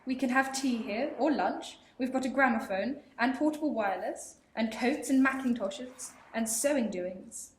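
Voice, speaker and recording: House-proud wife, chatty and fussy, played by a young actress; echoey room. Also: female voice, on the high side, moderate pace, hardly any pauses, close to an everyday voice. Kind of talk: amateur radio play acting. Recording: roomy echo, faint hiss.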